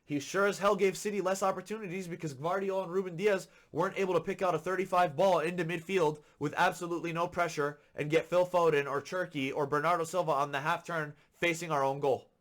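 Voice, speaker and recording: A man hosting a football podcast, ranting with frustration and repeating his points for emphasis, a little hoarse from being sick. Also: fairly high pitch, fairly even intonation, fast, hardly any pauses, a bit bright. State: slightly hoarse from illness. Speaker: podcast host. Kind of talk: solo football commentary rant.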